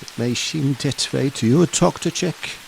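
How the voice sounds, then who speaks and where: An actor playing a learned, grave Dutch professor in an audio horror drama, speaking deliberately and cryptically in unidiomatic English. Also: male voice, mid-range voice, vocal fry, a little hiss or hum, rough recording. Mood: guarded, cryptic. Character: learned, grave foreign professor who hunts vampires.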